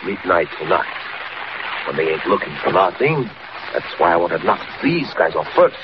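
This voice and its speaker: An actor playing a ruthless, wary Western gang boss in an old radio drama, gruff and commanding with clipped tough talk. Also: male voice, low-pitched, big pitch swings, normal speed. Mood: menacing. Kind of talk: 1940s radio acting. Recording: dull, very noisy vintage radio recording.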